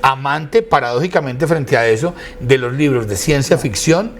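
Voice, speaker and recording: Male interview guest, Colombian accent, mid-pitched, formal and florid storyteller, some background noise. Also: expressive, normal speed. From Quindío, Colombia. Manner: eloquent, formal, florid phrasing. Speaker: interview guest, university lecturer and radio director.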